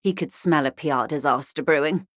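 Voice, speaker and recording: An actress playing a worldly, genteel corporate negotiator in a video game, speaking in a dry, ironic, measured and articulate way. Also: female voice, low voice, a bit bright, no room sound. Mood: composed, urgent. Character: worldly, wry corporate negotiator woman, coolly detached and genteel. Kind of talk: scripted video game dialogue.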